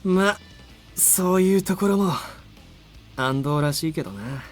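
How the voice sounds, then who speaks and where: A man's voice: a little high, normal speed, faint music behind, natural voice. A man voice acting a cocky, battle-hungry young male rival in a visual novel. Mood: wry, teasing.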